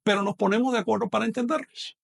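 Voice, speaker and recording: A man with a non-Mexican Spanish accent gives an online language lesson. His voice sits high and carries a gravelly rasp. He explains step by step and often checks in with his listeners. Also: steady tone, quick pace. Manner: explanatory, addresses students directly with check-in questions. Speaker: language teacher. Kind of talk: online lecture.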